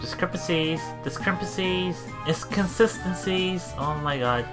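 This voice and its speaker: A man hosting a let's play, reading game text aloud and adding casual, halting commentary with repeated words and exclamations. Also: high-pitched. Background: game music.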